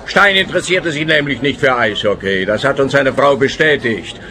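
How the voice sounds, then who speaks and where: An actor playing a dim, halting, pompous police officer in a comic radio play. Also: male voice, medium pitch, sharp tone, lightly acted. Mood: ponderous.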